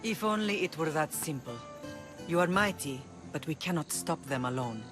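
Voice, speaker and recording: A woman's voice: deep voice, expressive, normal speed. An actress playing a middle-aged mother who rules an island, composed and commanding, in a video game cutscene. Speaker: island leader. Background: music.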